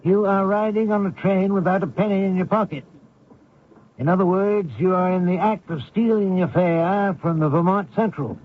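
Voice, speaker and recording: An actor playing a blunt, folksy small-town man who probes strangers, husky, in old-time radio drama style. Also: sits high, moderate pace, narrow, tinny audio, a little hiss or hum, natural voice. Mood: suspicious, prying. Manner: folksy, probing, plain-spoken.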